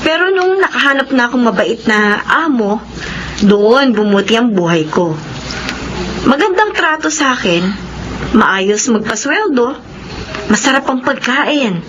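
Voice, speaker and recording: A woman's voice: a little high, a little slow, long pauses. An actress playing a kindly, seasoned middle-aged domestic helper who gives motherly advice with a Filipino accent in a radio drama. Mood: concerned, reflective. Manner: expressive, advising. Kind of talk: Tagalog radio drama acting. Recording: dull, noisy radio drama track.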